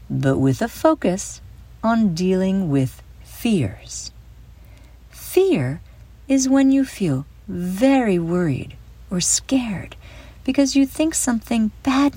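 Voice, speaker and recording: A woman hosting a guided visualization for children, speaking in a soothing, deliberate way with gentle emphasis. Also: medium pitch, no room sound. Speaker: host and guide of a children's mindfulness audio show. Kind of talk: guided visualization, scripted narration for kids.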